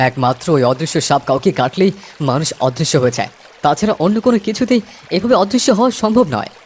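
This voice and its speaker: A man voicing an anxious village father in a cartoon, explaining things quickly and with alarm. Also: a little high, a little hiss or hum. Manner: fast, animated, alarmed. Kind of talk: cartoon voice acting.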